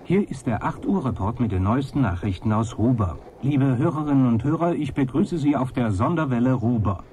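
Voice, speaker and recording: Actor as diplomatic male news presenter, medium pitch, measured, formal, 1980s radio play, faint noise. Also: lively intonation, mellow, close to an everyday voice. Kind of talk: acted radio news broadcast.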